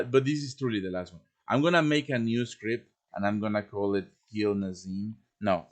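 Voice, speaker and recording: A man hosting a casual step-by-step software tutorial on a live stream, thinking aloud informally as he works. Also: fairly low pitch. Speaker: live stream host teaching quest making. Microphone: computer microphone on a live stream voice chat.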